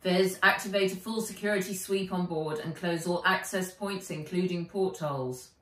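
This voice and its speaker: A British woman voicing a concerned, steady male guardian in a storybook, measured and questioning. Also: fairly low pitch, close to an everyday voice. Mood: firm. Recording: roomy, a little distant from mic.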